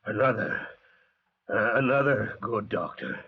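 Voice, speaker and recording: A man's voice: fairly low pitch, lively intonation, unhurried, husky, full character voice. A man playing a bitter, thirst-crazed castaway in old radio suspense style, sardonic and mock-polite, on a muffled vintage recording. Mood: mock-polite menace. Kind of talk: 1940s-50s radio suspense acting.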